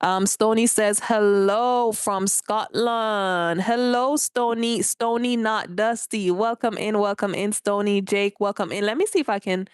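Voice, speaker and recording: A woman's voice: medium pitch, steady tone, a little slow, hardly any pauses, clear, resonant tone, no echo, clean studio sound. Chatty Jamaican woman on a live stream. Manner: chatty, greets viewers by name and reads comments aloud. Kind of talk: casual live-stream chat. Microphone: phone held for a vertical live stream.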